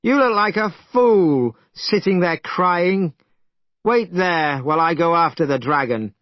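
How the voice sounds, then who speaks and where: A man doing a furious shouting monkey king. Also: fairly high pitch, normal speed, no echo, voice pushed up, natural voice. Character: hot-tempered boastful male monkey king. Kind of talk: storybook reading. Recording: dull dry recording.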